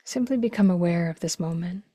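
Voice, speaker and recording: A woman leading a guided meditation slowly, in a gentle, hushed, breathy voice with long pauses. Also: on the low side, no echo. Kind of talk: guided meditation, slow and spacious.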